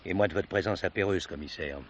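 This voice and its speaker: An actor playing an authoritative, composed gendarmerie captain in a television drama, with a clipped, formal, official manner. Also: male voice, low-pitched, quick pace, highs cut off. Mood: stern. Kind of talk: 1970s French television drama acting. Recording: noisy old drama recording.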